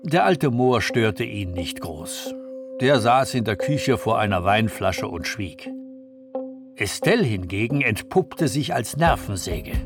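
An actor serving as a measured storyteller, a man narrating a detective novel for a radio play. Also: lowish voice, animated, over music. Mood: measured storytelling. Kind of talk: scripted literary narration for radio drama.

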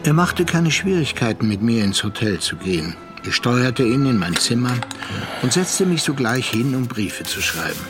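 Man playing a reflective seafaring narrator, medium pitch, measured literary delivery, radio drama, music underneath. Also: very animated, close to an everyday voice. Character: reflective male sea captain telling his tale, measured. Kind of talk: scripted radio play narration. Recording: music behind the voice.

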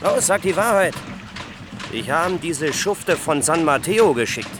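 A man playing a gruff, suspicious, lonely crippled inventor in a German radio play, curt and guarded in manner. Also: on the high side, expressive, measured pace, noisy background. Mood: snappish, suspicious.

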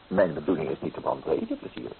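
A man's voice: a bass voice, wildly swooping pitch, fast talker, vocal fry, a little hiss or hum, full character voice. Tinny radio-play recording, sardonic flirtatious man. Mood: teasing, flirtatious. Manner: teasing, theatrical, persuasive.

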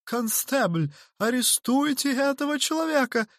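Storybook coward played by a man: high, shrill, whining, full of spluttering self-importance. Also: animated, a little slow, vocal fry, a bit bright, dry recording, studio quality, exaggerated voice. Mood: indignant, pompous.

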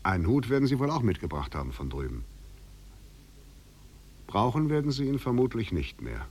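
A male actor playing a self-possessed escaped prisoner, measured and ironic, in a 1950s German radio drama. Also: medium pitch, big pitch swings, vocal fry, darkish tone, slightly rough, exaggerated voice. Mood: ironic, insistent. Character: escaped prisoner, self-possessed, coolly demanding, philosophical man. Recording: noisy 1950s radio recording.

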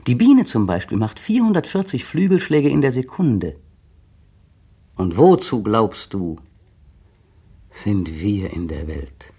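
A husky, sardonic, bitter man. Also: lowish voice, warm tone, heavily acted. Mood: taunting. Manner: mocking, sardonic and wildly shifting. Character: bitter, sardonic man taunting his young partner. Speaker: male lead in a radio play. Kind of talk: 1960s German radio drama acting.

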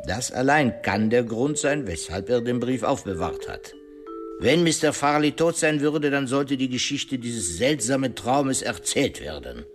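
Self-satisfied detective, courteous, theatrical, animated pitch, radio crime drama, light music behind. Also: male voice. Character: shrewd, self-satisfied male detective questioning suspects.

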